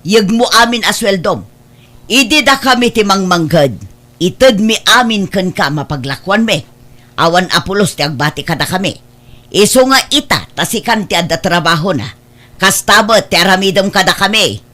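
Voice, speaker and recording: A woman's voice: medium pitch, animated, moderate pace, a bit bright, natural voice. A woman with an Ilocano accent playing a demanding, materialistic middle-aged mother in an audio drama, pushy and entitled. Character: demanding, materialistic mother living off her working child.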